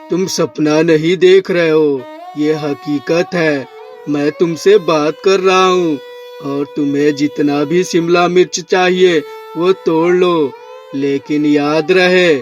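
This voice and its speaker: A man's voice: on the high side, steady tone, slow pace, muffled recording, soft background music, voice pushed down. A man voicing a kindly magical capsicum plant in a cartoon moral story, speaking in a solemn, reassuring way. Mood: solemn, generous.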